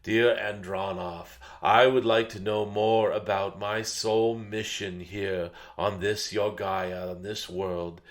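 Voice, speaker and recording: An ancient, wise dragon spirit, channeled by a man, gives a spiritual message to humanity. The voice is fairly low and very slow. It is solemn and gentle, like a guided meditation, with murmured hums in the pauses. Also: fairly even intonation. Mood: reverent, inviting.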